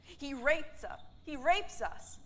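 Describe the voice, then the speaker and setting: A woman's voice: high voice, animated, slow pace, a bit bright, slight background noise, heavily acted. A woman playing a fiery factory worker in a staged reading, rallying others to revolt with insistent oratory. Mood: vengeful. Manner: oratorical, insistent, building rhetorical questions.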